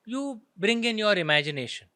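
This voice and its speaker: High-voiced Indian man, emphatic and dry-sounding. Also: sing-song, fairly quick, pushed and bright, dry recording. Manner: emphatic, argumentative, punctuated with rhetorical tag questions. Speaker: author of historical fiction, interview guest. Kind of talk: conversational explanation in an interview.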